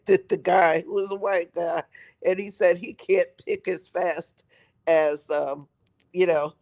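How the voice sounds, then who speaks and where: Slow-talking raspy man with a head cold. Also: high-pitched. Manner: rambling, repeats himself for emphasis. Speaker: livestream host. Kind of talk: casual live-stream commentary.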